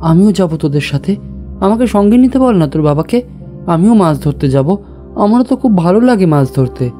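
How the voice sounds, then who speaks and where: Man as excitable village boy, highish pitch, soft mellow tone, eager chatty delivery, audio story, music behind. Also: normal speed. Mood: eager, pleading. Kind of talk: Bengali audio story character dialogue.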